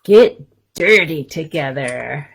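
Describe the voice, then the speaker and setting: A woman's voice: deep voice, expressive, slow, vocal fry, husky, low-quality recording. A woman hosting a story time for young children, reading aloud and chatting casually in simple, encouraging language.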